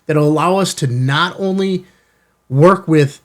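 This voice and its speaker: Guy with a slightly high voice doing an unboxing vlog, folksy and into it. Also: unhurried. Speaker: host of a ham radio channel. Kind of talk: casual product unboxing talk to viewers. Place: studio.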